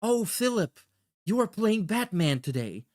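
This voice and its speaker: A man's voice: high voice, sing-song, unhurried, a little mellow, voice pushed up. A man voicing a gushing, overly formal male superfan, speaking in a stilted, fawning way for a comedy bit. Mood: mock adoring.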